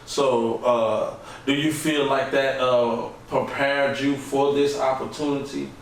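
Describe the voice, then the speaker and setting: Easygoing host in echoey room. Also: male voice, medium pitch, level tone, slow pace. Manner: friendly, filler-heavy, says "man" constantly. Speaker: podcast host. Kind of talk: casual interview. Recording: echoey room, mic far off, faint hiss.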